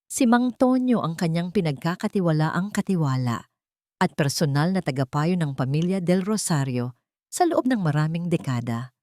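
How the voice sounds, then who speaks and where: A woman narrates a scripted drama as a storyteller. Her voice sits on the low side, recorded close and dry. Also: animated, normal speed, mellow, dry recording.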